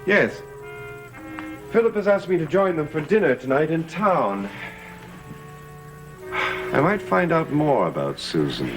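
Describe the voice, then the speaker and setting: Secretive gothic master, lively pitch swings. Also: male voice, a little slow, long pauses, rough recording. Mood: assured, intent. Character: secretive, self-assured master of a gothic mansion. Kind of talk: 1960s-70s gothic soap opera acting. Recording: old TV soundtrack with loud background music.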